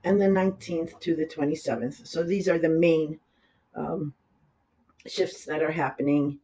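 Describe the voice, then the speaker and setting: An astrologer with a raspy, hoarse voice from a cold, talking through a horoscope in a loose, rambling way, with the audio sped up. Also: medium pitch, fairly even intonation, unhurried, dark tone. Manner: rambling, talks loosely with asides. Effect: audio sped up in editing. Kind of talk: casual horoscope reading.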